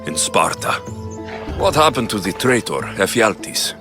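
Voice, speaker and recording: A determined, skeptical young Greek fighter in a video game. His lines are terse and questioning, in a lowish voice, with music clearly underneath. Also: big pitch swings, a little slow. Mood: guarded, serious. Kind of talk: dramatic video game dialogue.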